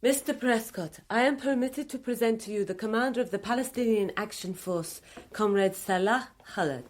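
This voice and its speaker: A woman's voice: fairly high pitch, moderate pace, natural voice. A woman playing a cool, formal aide to guerrillas in a radio drama, speaking. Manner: formal, composed. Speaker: actor playing a guerrilla's go-between.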